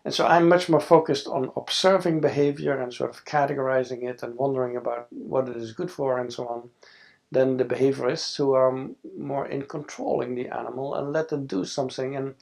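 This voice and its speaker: A man's voice: medium pitch, fairly even intonation, a little fast, creaky, a little mellow, rough recording. A man in his sixties from the Netherlands with a Dutch accent, speaking thoughtfully in an oral history interview, with frequent fillers and restarts, recorded on a lapel mic in a studio. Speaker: interviewee, scientist. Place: studio with a backdrop.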